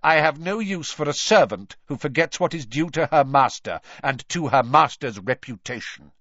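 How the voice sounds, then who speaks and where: Audiobook role, acted by a man: severe older male official, cold, deliberate, clipped, no pity, swooping emphasis. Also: a little high, lively intonation, a bit bright, no echo, highs cut off, voice pushed up. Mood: cold disdain. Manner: cold, slow, clipped authority.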